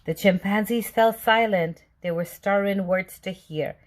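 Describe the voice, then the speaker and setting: A woman reading a children's storybook aloud with deliberate, expressive phrasing. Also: medium pitch. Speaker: storybook reader.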